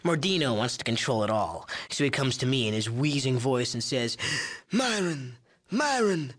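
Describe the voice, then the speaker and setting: A young male chemist from a video game, cocky and sleazy. His voice is nasal and whiny, at a medium pitch. He talks in smug, sarcastic slang. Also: very animated, normal speed, fairly bright tone. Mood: boastful, chatty. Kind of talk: video game character dialogue.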